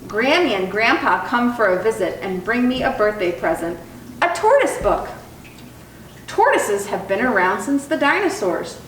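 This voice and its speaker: A woman reading a children's picture book aloud in an expressive, animated storytelling style. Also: a little high, normal speed. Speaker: storytime reader. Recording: roomy echo, distant mic, faint noise.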